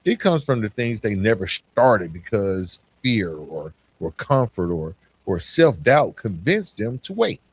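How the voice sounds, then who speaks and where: A man hosting a motivational podcast monologue, speaking in a deliberate, emphatic way and putting questions to the listener. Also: on the low side, sing-song, husky. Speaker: podcast host.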